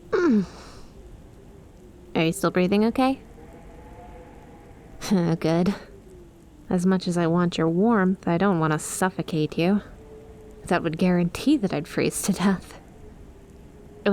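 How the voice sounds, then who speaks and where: Lady doing a lamia bestie for asmr roleplay, lowish voice, teasing and sweet, unhurried, noisy background. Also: a little mellow. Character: playful, affectionate young lamia snake-girl best friend. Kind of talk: ASMR roleplay, addressed to the listener.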